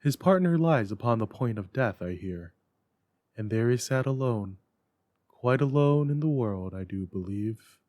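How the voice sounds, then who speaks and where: A cheerful storybook husband passing gossip to his wife, acted by a man. He has a medium male pitch and is recorded close and dry, in a dramatic reading. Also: expressive, a little slow, a little mellow, no room sound, lightly acted. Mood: fond, gossipy.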